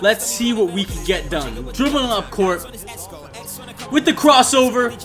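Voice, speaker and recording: A man's voice: sits high, expressive, measured pace, fairly bright tone, faint music behind. A man giving self-hyping play-by-play commentary on his own basketball video game, narrating each play in the present tense. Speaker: video gamer and channel host.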